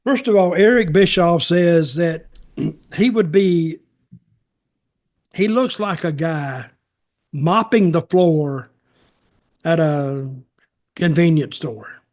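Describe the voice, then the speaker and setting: A man's voice: a little high, slow pace, long pauses, darkish tone. A man co-hosting a podcast, giving casual opinions in a blunt, sarcastic, folksy way. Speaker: podcast co-host, wrestling commentator.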